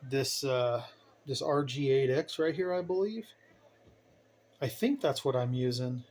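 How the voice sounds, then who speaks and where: A man's voice: mid-range voice, a little slow, darkish tone. A man giving a casual, unscripted tour of his hobby gear, rambling and thinking aloud in a folksy way. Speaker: hobbyist radio operator giving a station tour. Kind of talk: casual unscripted equipment walkthrough. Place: indoors at home radio bench. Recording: noticeable background noise, home video.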